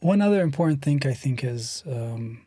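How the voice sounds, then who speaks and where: A guest in their thirties from Lebanon, speaking slowly and thoughtfully, with a stutter that often shows as silent pauses. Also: mid-range voice, lively intonation, warm tone, husky, dry recording, studio quality. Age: 30s. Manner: slow, measured and thoughtful. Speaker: guest, poet and writer. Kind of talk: reflective interview conversation.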